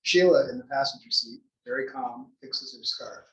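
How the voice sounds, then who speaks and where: A man's voice: medium pitch, moderate pace, a bit bright. Man narrating script, distant and echoey. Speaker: narrator at a table read. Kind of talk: scripted narration of stage directions. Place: library room before an audience. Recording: echoey room, distant mic.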